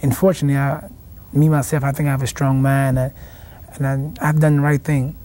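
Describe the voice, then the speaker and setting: A young man, a boxing champion answering in a TV studio interview, halting and restarting his words. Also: medium pitch, level tone, dark tone. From South Carolina, raised in Washington, D.C. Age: young adult. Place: TV studio set.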